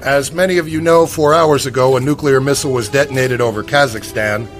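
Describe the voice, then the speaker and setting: A man's voice: mid-range voice, steady tone, a little fast, faint music behind, close to an everyday voice. Man acting as a stiff, guarded government official. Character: guarded male government official at press podium. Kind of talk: formal prepared statement.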